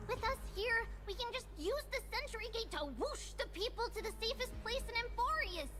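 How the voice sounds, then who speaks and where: A woman's voice: very high voice, expressive, fairly quick. A woman playing a playful, childish girl who talks in a bubbly way, in a video game cutscene. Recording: game audio with music behind.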